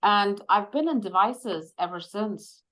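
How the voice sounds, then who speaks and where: A woman in her fifties from India, a medical device executive, talking through her career in a measured, reflective way with frequent pauses and fillers. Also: medium pitch, animated, a bit bright. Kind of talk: conversational interview.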